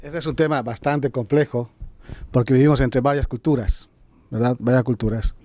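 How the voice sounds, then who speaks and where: A man's voice: mid-range voice, steady tone, moderate pace, mellow, dry recording. Earnest South American man, dry sound. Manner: earnest, repeats words. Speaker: panelist, pastor. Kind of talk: panel discussion.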